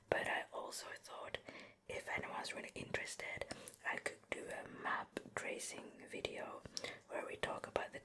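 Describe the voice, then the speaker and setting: A woman giving a gentle, unhurried show and tell in a soft, breathy ASMR whisper, very close to the mic. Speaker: ASMR video creator. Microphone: close ear-to-ear ASMR microphone.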